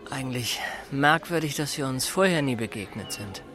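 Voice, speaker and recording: Actor doing a shy sober guy in middle age, mid pitch, awkward and halting, radio play. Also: animated, over music, close to an everyday voice. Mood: subdued. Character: Thomas, sober, shy, awkward single Berlin man. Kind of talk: German radio drama, understated dialogue and narration.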